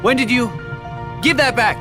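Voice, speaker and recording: An actor playing an earnest, brave young warrior in a video game. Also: male voice, extremely high pitch, moderate pace, over music. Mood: alarmed. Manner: earnest, heroic.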